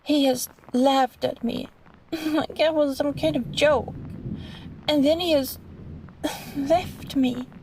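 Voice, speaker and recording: Woman acting a slow, high-voiced clingy catgirl vampire. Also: steady tone, a little hiss or hum, low-quality recording. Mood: wistful, self-pitying. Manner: soft, halting, pleading, breaking into sighs and small laughs. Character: clingy yandere female catgirl vampire, sweet but possessive. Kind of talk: intimate ASMR roleplay monologue.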